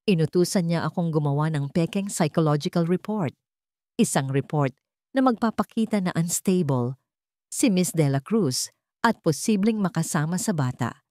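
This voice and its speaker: A woman's voice: sits low, dark tone, no echo, studio quality. A woman voicing a polite male private investigator in an audio drama, earnest and uneasy with guilt. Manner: deferential, earnest.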